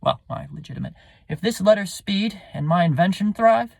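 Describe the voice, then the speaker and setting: Defiant, resentful schemer played by a man, gravelly. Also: high voice, expressive, moderate pace, darkish tone, no echo, heavily acted. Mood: mocking, scheming. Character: resentful, ambitious bastard son, defiant and scheming. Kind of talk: Shakespearean soliloquy.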